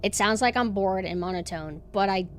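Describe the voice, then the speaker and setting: A woman streamer with a monotone voice, chatting casually and rambling with lots of fillers and restarts. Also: medium pitch, normal speed, slight background noise. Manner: rambling, self-deprecating, many fillers and restarts. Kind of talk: casual chat.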